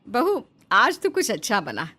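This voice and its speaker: A woman's voice: mid-range voice, huge pitch swings, a little slow, sharp tone, faint music behind, full character voice. A woman voicing a well-meaning, motherly middle-aged mother-in-law in a melodramatic moral-story cartoon.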